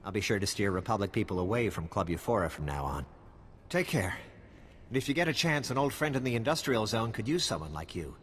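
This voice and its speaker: An actor playing a friendly, laid-back local informant in a video game, with casual clipped phrasing. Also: male voice, lowish voice, sing-song, fairly quick, big-room sound, slight background noise. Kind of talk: scripted video game cutscene acting.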